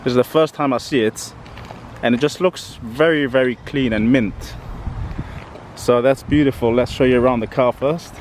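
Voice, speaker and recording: A man's voice: mid-range voice, steady tone, moderate pace, darkish tone, slight background noise. Chatty man touring a car outdoors. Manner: chatty, admiring, repeats himself. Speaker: car vlogger host. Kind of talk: casual car walkaround chat. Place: outdoors beside a parked car.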